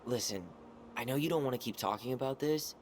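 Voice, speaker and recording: A man's voice: medium pitch, a little fast, slight background noise, close to an everyday voice. A man playing a wounded, stubborn young man in naturalistic video game dialogue, earnest and blunt. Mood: probing. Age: young adult.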